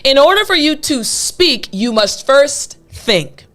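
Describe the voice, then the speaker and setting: A woman in her younger years gives an English lesson in a fairly high voice, stressing and repeating each key point to encourage her students. Soft music plays faintly behind her. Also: sing-song, slow. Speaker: English teacher. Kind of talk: scripted lesson to camera.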